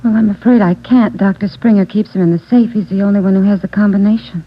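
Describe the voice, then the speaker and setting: An actress voicing a polite doctor's receptionist in an old radio drama who answers carefully and hesitantly. Her voice sits at a middle pitch. The sound is dull and lo-fi like an old broadcast. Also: fairly even intonation, fast talker, natural voice. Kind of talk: 1940s radio drama acting.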